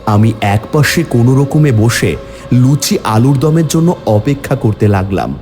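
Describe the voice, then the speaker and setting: A man's voice: animated, a little slow, a little mellow, soft background music, lightly acted. A man playing a village man who tells his own horror story dramatically in a cartoon. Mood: grave narration. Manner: theatrical, rising into high pleading. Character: frightened, hungry village man telling his ghost tale. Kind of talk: dramatic first-person horror story narration.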